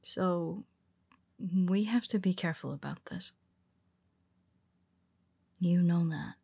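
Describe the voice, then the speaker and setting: A woman voices a nervous young heroine who confesses in a low, husky half-whisper. Her words come slowly and her voice is gravelly. She trips over her own restarts and stays soft-spoken throughout. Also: no echo, exaggerated voice. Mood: tender, confessional. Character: tender, nervous young female superhero. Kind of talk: audio roleplay for a listener.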